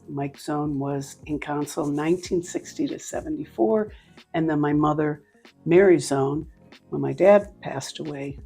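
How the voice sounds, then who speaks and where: Low, gravelly older woman recounting her story. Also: warm tone, poor audio. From Cleveland, Ohio. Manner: unhurried storytelling with pauses. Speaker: interviewee, nonprofit leader. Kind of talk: casual interview conversation. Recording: faint background music.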